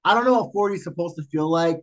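A man in his forties co-hosting a podcast, talking in an animated, emphatic way and repeating phrases. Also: a little high, quick pace. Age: 40s. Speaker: podcast co-host. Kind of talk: casual chat.

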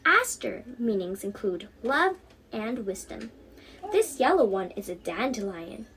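A woman's voice: mid-range voice, sing-song, measured pace, fairly bright tone, slight background noise. A teenage girl voicing a poor young flower seller in a storybook, humble and full of curious questions. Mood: helpful.

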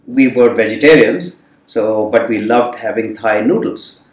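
A man whose first language is Hindi tells about his upbringing with reflective pauses and frequent fillers. He sits some distance from the microphone. The room around him adds a noticeable echo. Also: mid-range voice. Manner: thoughtful, many fillers. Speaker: guest. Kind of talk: reflective storytelling in conversation. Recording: roomy sound from a distant mic.

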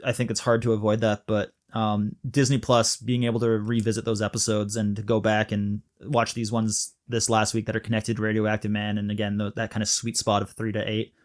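A man's voice: on the low side, monotone, fairly quick, hardly any pauses. A man in his thirties from Malaysia, co-hosting a podcast and chatting enthusiastically, with a rambling way of talking. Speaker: podcast co-host. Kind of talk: casual chat.

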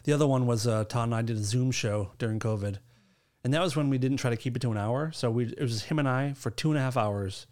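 A man's voice: medium pitch, quick pace. A man co-hosting a podcast, chatting casually with plenty of joking and swearing. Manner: profane, joking, animated. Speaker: podcast co-host.

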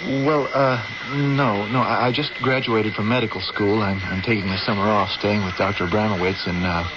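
Through loud hiss on a dull old radio recording comes an actor playing an earnest young American man fresh from medical school. His voice is on the low side, and he speaks politely and haltingly, with a caring, nervous manner in classic radio drama style. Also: fairly even intonation, lightly acted. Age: young adult. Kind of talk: old-time radio drama acting, with some first-person narration.

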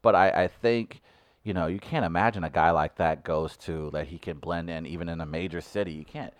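Animated man with a dry close sound. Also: deep voice, a little fast, no room sound. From St. Louis area. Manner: animated, rises to heated rants. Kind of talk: casual podcast chat.

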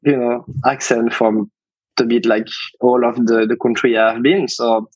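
French chef guest, accented, hesitant, slightly lo-fi dry recording. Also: male voice, medium pitch, fairly even intonation, unhurried, darkish tone, husky, no room sound. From France. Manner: hesitant, repeats words, searching for English. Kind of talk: casual interview chat.

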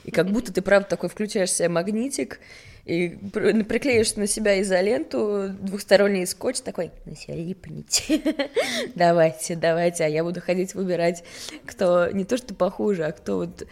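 A woman's voice: mid-range voice, moderate pace, vocal fry, a little mellow. A young woman from Sweden, a model and interview guest, talking candidly and self-mockingly in a casual rambling way. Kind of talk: casual chat.

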